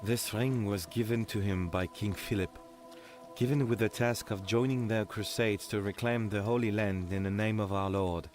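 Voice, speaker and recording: Casting: male actor for pious young medieval bowman, stern and formal, lowish voice, audiobook drama over soft music. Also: moderate pace, lightly acted. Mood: solemn, burdened. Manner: solemn, flat, formal. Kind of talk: audiobook character acting.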